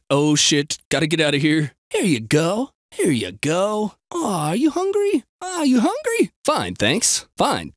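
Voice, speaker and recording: Young male game bystander, crude and snarky, jumpy, very animated intonation, dry close recording. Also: on the high side, no echo, exaggerated voice. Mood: panicked, then casual. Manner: sarcastic, exaggerated, swinging from flat to frantic. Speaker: video game voice actor.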